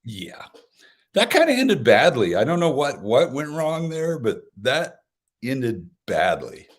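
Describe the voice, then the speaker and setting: A man's voice: medium pitch, huge pitch swings, slow, breathy edge. A man chatting casually as a guest, rambling, joking and often cutting himself off. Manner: rambling, self-interrupting, joking. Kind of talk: casual chat about concerts.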